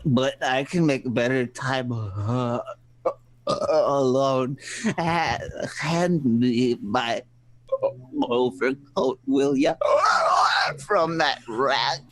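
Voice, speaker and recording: A man's voice: mid-range voice, dry recording, rough recording. A man acting a flustered, stammering young pool player in a comedic radio play. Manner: halting, stammering, drawn-out delivery.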